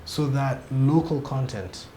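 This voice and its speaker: A man's voice: mid-range voice, slow pace, dark tone, echoey room, slight background noise. A man from Kenya, a TV series director, giving frank, emphatic opinions in a sit-down interview.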